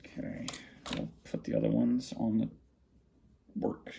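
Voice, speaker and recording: A man with a gravelly, creaky voice giving casual unscripted commentary, muttering to himself while he works with his hands. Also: on the low side, big pitch swings, unhurried, mellow, a little hiss or hum, low-quality recording. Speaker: hobbyist vlogger showing a radio collection. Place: small indoor room at a cluttered desk.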